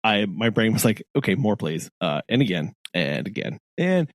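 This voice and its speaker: A man's voice: fairly low pitch, big pitch swings, moderate pace, no echo. A man co-hosting a podcast in casual chat, rambling with many restarts and frequent laughs. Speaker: podcast co-host.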